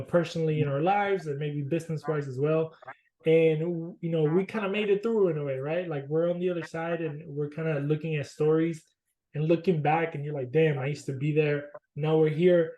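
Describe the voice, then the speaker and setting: A man's voice: on the high side, fairly even intonation, brisk, mellow, poor audio. A young adult man hosting a podcast, asking loose, filler-heavy questions in a friendly, casual way. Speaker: podcast host. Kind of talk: casual interview chat.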